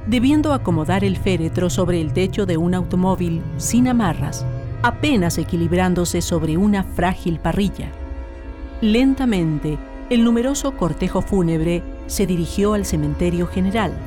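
An actress narrating a radio drama in a formal, solemn, documentary style. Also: female voice, fairly low pitch, lightly acted. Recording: narration over loud music bed.

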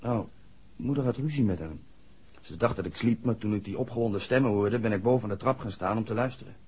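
A man's voice: lowish voice, fast talker, darkish tone, slightly rough. A man acting an earnest, hesitant young village garage worker in a 1960s radio play, speaking deferentially. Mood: earnest, puzzled. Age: young adult. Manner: hesitant, deferential to his questioner. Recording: dull 1960s radio drama recording.

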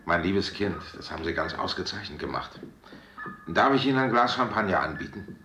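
A man's voice: deep voice, animated, brisk, over music. A man in a German radio drama playing a suave, pompous scientist with a paternal, courtly manner. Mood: courtly, pleased. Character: suave, grandiose scientist proud of conquering death. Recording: echoey, loud background noise.

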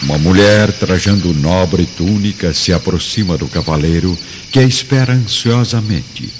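A man's voice: low-pitched, normal speed, noisy background. A man with a Brazilian accent narrates a scripted radio drama in a solemn, theatrical storytelling style. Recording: dull lo-fi recording. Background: clear background music.

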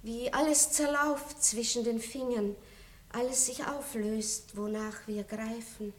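An actress playing an aging noble princess in a radio play, melancholy and tender. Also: female voice, on the high side, fairly even intonation, slow pace. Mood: wistful. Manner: melancholy, drops to a whisper in reflection. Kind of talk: 1960s radio play acting.

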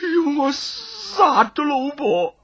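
A narrator voicing a terrified, pampered young gentleman, stammering and begging in Cantonese. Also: high voice, huge pitch swings, slow, voice pushed up, exaggerated voice. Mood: terrified pleading.

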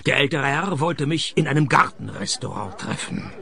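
An actor playing a cynical, hard-up private detective with deadpan wit, narrating and talking in a scripted radio play. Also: male voice, mid-range voice, normal speed, creaky, husky, no echo, full character voice. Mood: sardonic. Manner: deadpan, sardonic, dry wit.

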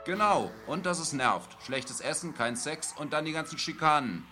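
Man acting a whiny guerrilla comrade over loud music. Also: on the high side, expressive, normal speed, natural voice. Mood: griping. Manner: complaining, slangy. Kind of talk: German radio play acting.

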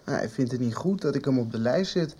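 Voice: medium pitch, lively intonation, a little fast, warm tone, breathy edge. An actor in a 1990s radio soap playing a shy, polite, earnest teenage pupil who stammers hesitantly.

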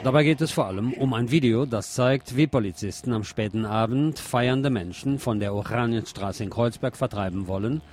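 A man hosting a radio show, presenting commentary and reading news aloud in a deliberate way with pauses. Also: mid-range voice, background noise.

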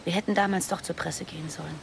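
A woman's voice: lowish voice, steady tone, fast, gravelly, background noise. An actress in a German radio drama playing an anxious, regretful woman on the run, speaking with urgent reproach. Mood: anxious, reproachful.

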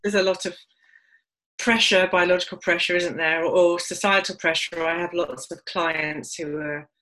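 Low-voiced bright London woman in her forties. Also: fairly even intonation, normal speed, poor audio. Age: 40s. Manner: open and reflective, with many fillers. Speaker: interviewee. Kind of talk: casual conversation.

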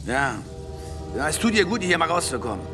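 Brash rich womanizer, commanding, blunt, lively pitch, radio play, clear music bed. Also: brisk. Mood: dismissive. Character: domineering, brash rich man, a philanderer.

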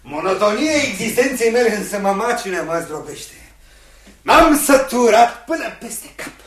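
A man's voice: sits high, animated. An actor playing a tormented, secretive cathedral cantor in a radio play, brooding and agitated, repeating his words. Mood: bitter, anguished. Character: tormented, opium-smoking cathedral cantor, secretive and bitter uncle. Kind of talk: radio theatre acting.

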